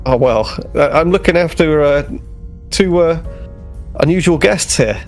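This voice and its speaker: A man's voice: a little high, lively intonation, unhurried, soft background music, pitched above the performer's own voice. A man playing a naive, cheerful young starship ensign in a tabletop game, friendly, polite and a little hesitant.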